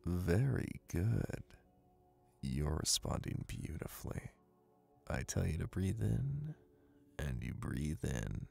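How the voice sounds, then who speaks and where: A man plays a young hypnotist classmate with a low voice full of heavy vocal fry. He is confident and dominant, guiding in a soft, soothing ASMR manner. His delivery is slow and deliberate. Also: sing-song, soft background music, exaggerated voice. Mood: soothing, commanding. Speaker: voice actor.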